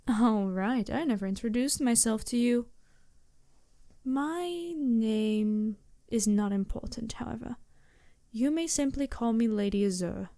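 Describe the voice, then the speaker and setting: A woman voicing a poised, imperious yet compassionate demon princess in an intimate ASMR roleplay, with a deliberate, softly commanding manner. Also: on the high side, no echo, clean studio sound. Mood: poised, self-assured.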